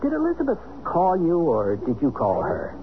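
A man's voice: fairly high pitch, wildly swooping pitch, normal speed. An actor playing a jaunty, flustered American businessman and husband in a 1970s radio drama. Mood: frank, casual. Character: jaunty, flustered businessman and estranged husband, former college athlete. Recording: dull, noisy old radio recording.

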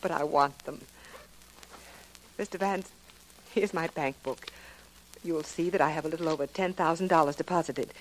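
A woman's voice: sits low, normal speed, long pauses, a little hiss or hum, close to an everyday voice, poor audio. A woman playing a genteel, anxious wealthy wife in 1940s radio drama, earnest, formal and hesitant. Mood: anxious, pleading.

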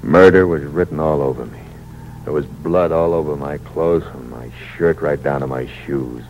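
An actor playing a cold-blooded, cynical killer in a 1940s American radio thriller, terse and slangy. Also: male voice, extremely low pitch, normal speed. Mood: grim, confiding. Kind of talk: 1940s radio thriller acting, first-person hardboiled narration. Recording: muffled old radio recording with hum and hiss.

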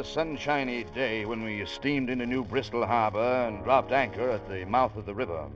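An actor playing a plain-spoken ship's officer in vintage radio drama, with a conversational, wry, easygoing manner. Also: male voice, mid-range voice, close to an everyday voice. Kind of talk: 1940s-50s radio drama narration and acting. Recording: muffled old radio broadcast with music.

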